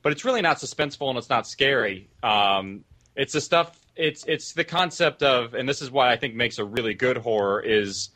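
A man's voice: medium pitch, moderate pace, pushed and bright. A man talking casually as a writer and producer on a discussion panel.

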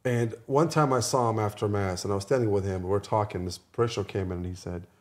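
A man's voice: on the low side, fast, darkish tone. A man guesting on a podcast, chatting informally through anecdotes, restating phrases and quoting people's dialogue. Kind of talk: casual chat, animated anecdotes.

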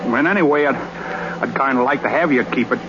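An actor playing a bashful, tongue-tied young ballplayer in love, stammering and folksy in a 1930s radio comedy. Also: male voice, medium pitch, a little fast, natural voice. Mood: awkward, lovesick. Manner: stammering, folksy ungrammatical speech. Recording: noisy, muffled 1930s radio broadcast.

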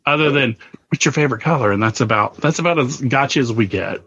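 A man's voice: mid-range voice, fast talker, a bit bright, breathy edge. A man hosting a casual podcast interview, chatty and fond of telling little stories. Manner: chatty, storytelling, laughs easily. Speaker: podcast host. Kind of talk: casual chat. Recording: slightly dull, muffled sound.

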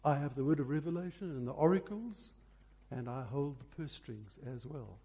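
A man's voice: medium pitch, expressive, moderate pace, mellow, husky. A man preaching a sermon, phrasing emphatically and repeating key words for effect.

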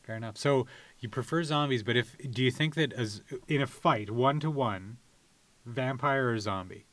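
A man's voice: medium pitch, normal speed, fairly bright tone. A man co-hosting a podcast, asking questions and bantering casually with a guest. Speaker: podcast co-host.

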